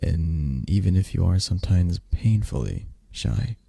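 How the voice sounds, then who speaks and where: Man acting a deep, mellow, drowsy young dragon boyfriend. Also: animated, unhurried, vocal fry, slightly rough, no echo, heavily acted. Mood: gently encouraging. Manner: gentle, drowsy, teasing. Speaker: roleplay audio performer. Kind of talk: intimate ASMR boyfriend roleplay.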